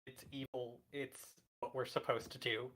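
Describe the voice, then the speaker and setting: Medium-pitched man thinking aloud. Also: flat delivery, normal speed, a bit bright. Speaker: tabletop roleplaying game player. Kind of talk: casual thinking-aloud game play.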